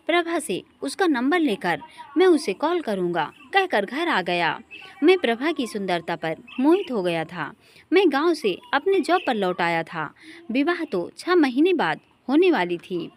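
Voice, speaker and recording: Woman, story narrator, high voice, animated intonation, scripted emotional delivery.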